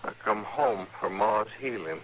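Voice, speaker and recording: An actor playing a wounded, stubborn outlaw with a rural Western American accent, strained with pain, in an old-time radio western. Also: male voice, fairly low pitch, expressive, measured pace, a bit bright. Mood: weak, stubborn. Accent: rural American West. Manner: strained, stubborn, plain-spoken. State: in pain, groaning. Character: wounded, stubborn outlaw cowboy come home. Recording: noisy vintage radio broadcast.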